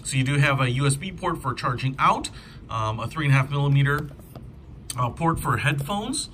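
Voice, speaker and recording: A man's voice: medium pitch, lively intonation, moderate pace, a bit bright, slight background noise. A man giving a casual hands-on product review in an informal, enthusiastic, conversational way.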